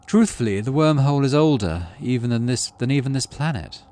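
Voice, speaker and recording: A man's voice: mid-range voice, lively intonation, warm tone, pitched above the performer's own voice, close to an everyday voice. Man reading as a wry, cryptic alien guide. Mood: musing. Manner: wry, musing, deliberate. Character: wry, cryptic male alien forest guide, calm and teasing. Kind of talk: visual novel game dialogue.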